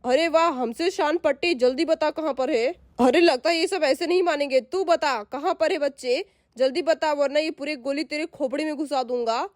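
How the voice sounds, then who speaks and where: एक महिला एक बंदूकधारी पुरुष डाकू की आवाज़ निकालती है, भारी और धमकी भरे, चिढ़ाने वाले अंदाज़ में।. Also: female voice, high-pitched, normal speed, dry recording, clean studio sound, natural voice. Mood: menacing. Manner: gruff, threatening, mocking. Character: menacing male dacoit kidnapper with a gun.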